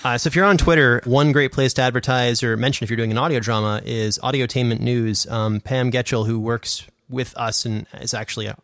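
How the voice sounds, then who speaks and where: A man's voice: medium pitch, vocal fry, a bit bright, dry recording, studio quality. A man in his twenties co-hosting a podcast, explaining things in a casual, conversational way. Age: 20s. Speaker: podcast co-host and sound designer.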